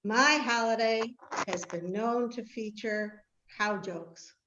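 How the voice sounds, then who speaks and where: A woman's voice: fairly high pitch, takes it slowly, background noise. A woman playing a proud, party-loving biblical queen in a playful scripted comic monologue for amateur reader's theater. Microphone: video call. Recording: dull, roomy video-call audio.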